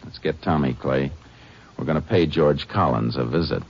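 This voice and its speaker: An actor playing a stern, steady Texas lawman with an American accent, terse and matter-of-fact in an old radio police drama. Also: extremely low pitch, normal speed, heavy background noise. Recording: dull vintage radio recording.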